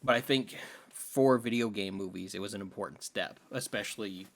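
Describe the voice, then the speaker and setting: A man's voice: lowish voice, moderate pace, vocal fry, a bit bright, a little hiss or hum. A man co-hosting a podcast, giving his opinions on films in casual conversation. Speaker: podcast co-host. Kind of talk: casual film podcast chat.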